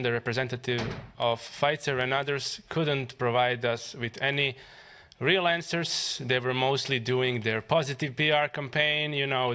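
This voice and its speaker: A man from Croatia with a Croatian accent, a member of parliament giving a press conference statement haltingly, with fillers and restarts. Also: medium pitch, bright, forceful tone.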